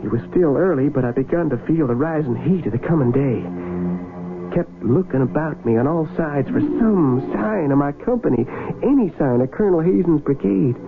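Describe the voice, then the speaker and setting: A young Union soldier from Ohio, stubborn and rough-spoken, in a bright, high register. It comes through a thin, tinny old radio broadcast. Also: male voice, expressive, normal speed, slight background noise. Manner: rustic ungrammatical speech, urgent and stubborn. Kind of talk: 1970s radio drama acting.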